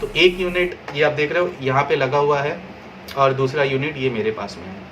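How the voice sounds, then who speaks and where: A man's voice: fairly high pitch, moderate pace, a bit bright, slight background noise, rough recording. A man giving a casual, step-by-step product review and how-to, dropping English phrases into his talk. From India.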